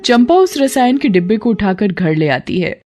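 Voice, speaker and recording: A woman narrating a scripted cartoon storybook in an even, clear storytelling voice. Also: mid-range voice, normal speed, faint music behind.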